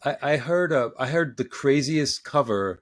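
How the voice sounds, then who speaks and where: A man in his forties hosting a casual podcast interview, talking in halting bursts with many restarts and repeated words. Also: medium pitch, animated, no room sound, clean studio sound. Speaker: podcast host.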